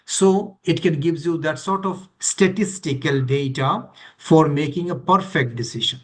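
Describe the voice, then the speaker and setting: A man's voice: on the high side, unhurried. A man leading a training session, explaining in an emphatic, list-like way and checking that listeners follow. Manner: emphatic, repetitive listing, checks understanding with questions. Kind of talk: explanatory teaching to online participants.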